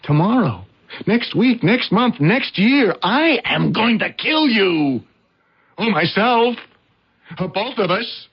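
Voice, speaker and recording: An actor in a radio drama playing a trapped husband who pleads in an agonized, halting way. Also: male voice, sits high, lively intonation, breathy edge, full character voice. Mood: desperate, threatening. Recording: dull vintage radio drama recording.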